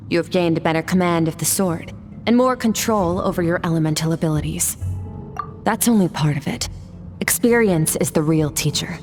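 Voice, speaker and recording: An actress playing a cool, stoic sword master in a video game, composed, blunt and measured as she trains a pupil. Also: on the low side, natural voice.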